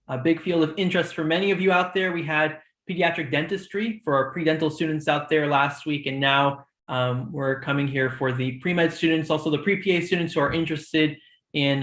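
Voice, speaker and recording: A man's voice: on the high side, fairly bright tone, roomy sound. A young man hosting a student shadowing live stream, giving organized reminders with frequent ums. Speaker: host of a student shadowing program.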